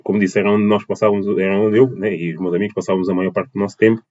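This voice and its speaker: A man's voice: lowish voice, level tone, rapid-fire, a little mellow, dull audio. A man in his twenties from Portugal, answering as a podcast guest, with many fillers and restarts. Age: 20s. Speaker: podcast guest, dance teacher. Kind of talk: casual interview answers.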